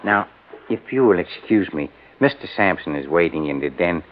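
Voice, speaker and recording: A man's voice: sits low, animated, moderate pace. An actor playing a polite, precise male private secretary in a 1950s radio drama, deferential and formal. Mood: courteous, probing. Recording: tinny, faintly noisy old radio recording.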